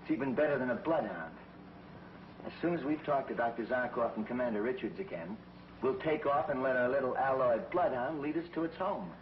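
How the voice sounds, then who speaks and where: A man playing a confident young space hero in a 1950s TV drama, brisk and reasoning. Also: medium pitch, animated, close to an everyday voice. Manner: brisk, reasoning, matter-of-fact. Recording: dull old TV print with hiss.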